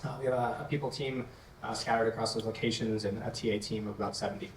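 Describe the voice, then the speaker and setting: A man introduces himself at a brisk, breezy clip on a conference panel. His voice sits in the middle range and comes from a distance with plenty of hall echo. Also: fairly low pitch, fairly even intonation, a little hiss or hum. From Canada and United States. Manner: quick, breezy. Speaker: panelist, talent acquisition lead. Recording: echoey hall, distant mic.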